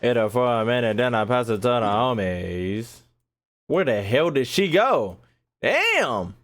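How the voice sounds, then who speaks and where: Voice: medium pitch, very animated, unhurried. A gaming commentator, reacting in casual slang with joking exclamations. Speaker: gaming video creator reacting to gameplay. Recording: game music behind the voice.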